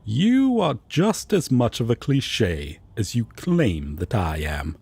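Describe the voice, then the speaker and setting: Man acting a prim angel, wildly swooping pitch. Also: sing-song, takes it slowly, vocal fry, warm tone, slightly rough, no room sound, heavily acted. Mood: haughty. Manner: formal, polite, haughty toward his rival. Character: prim, devoted male angel roommate, easily indignant. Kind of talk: audio roleplay acting.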